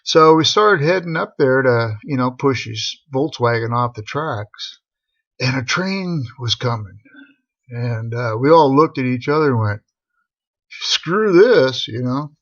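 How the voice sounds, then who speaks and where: A middle-aged man with a gravelly, husky voice telling a casual, rambling personal story in laid-back, slangy talk. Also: mid-range voice, lively intonation, measured pace, a bit bright, no room sound. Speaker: storyteller on a live stream. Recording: dull, boxy lo-fi live stream audio.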